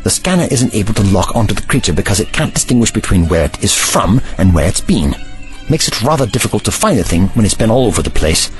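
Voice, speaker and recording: A man's voice: lowish voice, big pitch swings, fast talker, faint music behind, rough recording. A man playing an older, commanding and protective time traveler in an audio drama, speaking formally and precisely in an avuncular way. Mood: measured, wry. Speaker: actor in a sci-fi audio drama.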